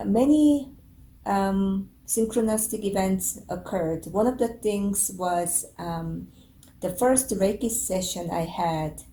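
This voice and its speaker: A woman from South Korea, a native Korean speaker, gently telling her story as a spiritual teacher in English. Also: on the low side, roomy sound, slight background noise, poor audio. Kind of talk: calm introduction and personal story.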